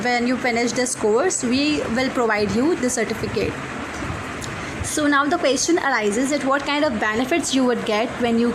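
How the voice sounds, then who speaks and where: A woman's voice: sits high, noisy background. A woman with a Pakistani accent, an online English teacher earnestly introducing her course, running through lists with frequent fillers. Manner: earnest, many fillers, list-like delivery.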